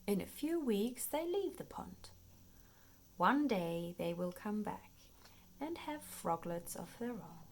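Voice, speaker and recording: A woman reading a children's nature book aloud in an expressive, playful storytime manner, paced for young listeners. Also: mid-range voice, slow pace, slight background noise. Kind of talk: read-aloud children's nonfiction storytime narration.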